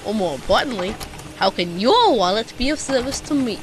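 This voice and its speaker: A woman's voice: a little high, expressive, fairly quick, noisy background, natural voice. A woman playing a bumbling, self-important private detective in an amateur radio drama, with a pompous, theatrical delivery. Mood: eager, officious.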